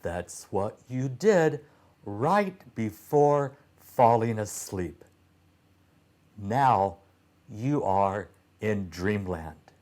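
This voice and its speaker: A man's voice: lowish voice, expressive, very slow, a little mellow. A man voicing a friendly toy mouse from a children's storybook, explaining things in a matter-of-fact way. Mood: reassuring.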